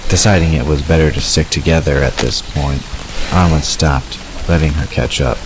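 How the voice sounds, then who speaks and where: A man's voice: extremely low pitch, normal speed. A man reading scripted story narration for an audio drama. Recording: noisy, rain-like hiss behind.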